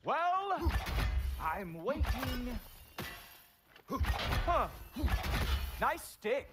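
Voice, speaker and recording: High-pitched, smug, taunting villain god. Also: male voice, sing-song, heavily acted. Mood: gloating, taunting. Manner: smug, sarcastic, drawn-out taunting. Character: arrogant, mocking male Norse god, a taunting villain. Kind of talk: video game cutscene acting. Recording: loud game noise behind.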